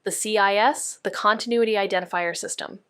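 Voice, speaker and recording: A woman playing a serious, analytical investigator in an audio drama, speaking in a measured, precise way. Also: medium pitch, fairly even intonation, fairly bright tone, smooth, no echo, studio quality, natural voice.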